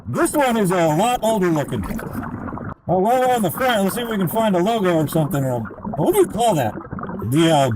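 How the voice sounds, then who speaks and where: A man diving in a river, chatting and thinking aloud as he searches, with a squeaky voice heard over his diving mask comms. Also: animated, fairly quick, background noise. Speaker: diver. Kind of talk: casual narration while searching. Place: underwater in a river.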